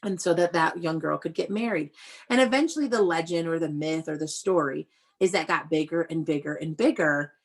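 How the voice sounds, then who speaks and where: A woman teaching young children through a read-aloud, explaining in an animated way and often putting questions to them. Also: sits low, brisk. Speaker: elementary school teacher.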